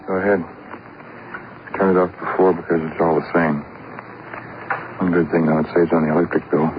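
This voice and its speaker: A man plays a blind army veteran in a 1950s radio drama, bitter with grief. His very deep voice comes out in terse, broken phrases. The old recording is thin, tinny and plainly noisy. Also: fairly even intonation, moderate pace, lightly acted. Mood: bitter, flat.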